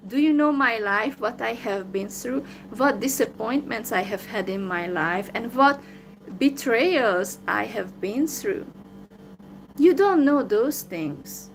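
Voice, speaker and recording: A woman's voice: a little high, lively intonation, slow pace, vocal fry, a little hiss or hum, low-quality recording. A woman with a Hungarian accent, talking alone to her viewers in a downcast, hesitant way with many fillers. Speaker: vlogger. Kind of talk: solo livestream monologue.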